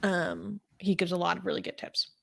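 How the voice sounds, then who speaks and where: A woman co-hosting a casual news discussion, chatty with many fillers, reading stories aloud and reacting with animated commentary. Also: moderate pace, gravelly. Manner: animated, rises in pitch when outraged.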